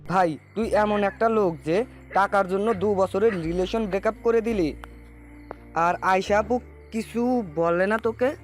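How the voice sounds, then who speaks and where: A man's voice: high voice, unhurried. A man playing a nosy, teasing young male friend in an audio love story, with a needling, questioning manner. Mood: incredulous. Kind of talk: acted dialogue in an amateur audio love story. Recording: voice over background music.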